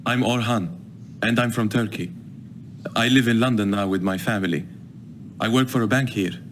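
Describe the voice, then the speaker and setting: A man's voice: on the low side, fairly even intonation, normal speed, slight background noise. A man playing a student introducing himself in a scripted audio dialogue.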